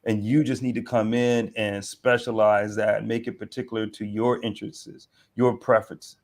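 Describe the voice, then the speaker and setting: A man's voice: fairly low pitch, flat delivery, normal speed. A married man hosting a casual discussion, giving earnest advice to other men. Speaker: host, coach.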